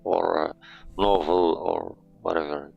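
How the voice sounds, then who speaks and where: A man's voice: deep voice, steady tone, takes it slowly, gravelly, darkish tone, highs cut off. A man from Morocco, speaking English as a guest in a casual chat and searching for words. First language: Amazigh.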